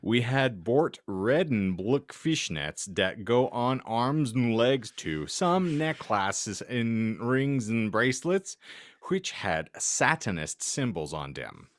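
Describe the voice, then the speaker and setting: A man hosting a podcast, reading bad fan fiction aloud with deadpan, exasperated banter. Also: medium pitch, slow pace, dry recording. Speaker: podcast host.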